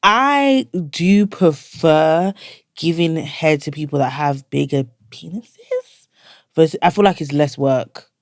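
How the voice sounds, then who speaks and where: A blunt, lively young woman in her twenties, chatting on a podcast and saying "like" all the time. She sits close to the microphone, so the sound is dry and direct. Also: sits low, takes it slowly, no room sound. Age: 20s. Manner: frank, blunt and animated, says "like" a lot. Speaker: podcast co-host. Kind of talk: casual chat.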